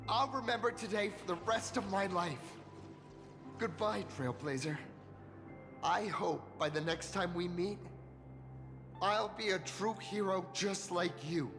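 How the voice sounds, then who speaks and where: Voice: mid-range voice, unhurried, long pauses, lightly acted. A performer voicing an earnest, insecure young would-be hero in a video game, speaking hesitantly. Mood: hopeful. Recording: long reverb, music behind.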